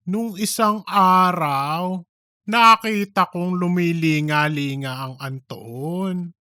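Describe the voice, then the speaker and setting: A man voicing a theatrical office gossip who spins absurd tales in drawn-out style for a comic audiobook. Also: high voice, pushed and bright, smooth, no room sound, clean studio sound, full character voice. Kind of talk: scripted comic audiobook dialogue.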